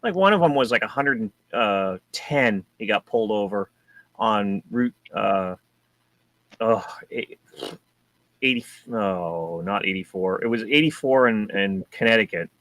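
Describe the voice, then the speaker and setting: A man from New Hampshire co-hosting a casual car talk show, rambling and animated with many restarts. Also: on the low side, unhurried, no echo. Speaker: co-host. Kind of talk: casual chat.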